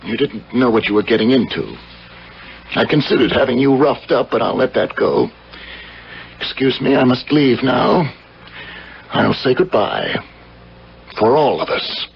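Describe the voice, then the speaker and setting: An actor playing a wronged husband, coldly polite and sardonic, in a 1970s radio drama. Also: male voice, mid-range voice, expressive, gravelly, a little hiss or hum. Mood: coldly menacing. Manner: formal, deliberate, sardonic. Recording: muffled old radio broadcast.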